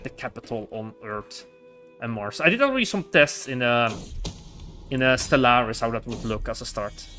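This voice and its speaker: Sex: male. Role: livestreamer. Style: casual game commentary. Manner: rambling, giggly, restarts words. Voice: bright, mid-pitched. Behind: faint music. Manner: chatty, rambling, laughs often, restarts words.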